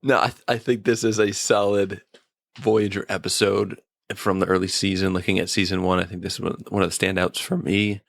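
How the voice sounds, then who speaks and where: A man speaking as a podcast guest in a casual review, with a measured, understated manner. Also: sits low, level tone, creaky, fairly bright tone, dry recording, studio quality. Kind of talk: casual episode review discussion.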